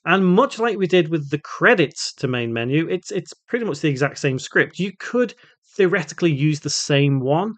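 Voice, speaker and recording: A man hosting an informal step-by-step software tutorial, thinking aloud as he works through it. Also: on the high side, lively intonation, moderate pace, dry recording. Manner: thinks aloud while working, spelling out code symbol by symbol. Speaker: tutorial host, game development instructor.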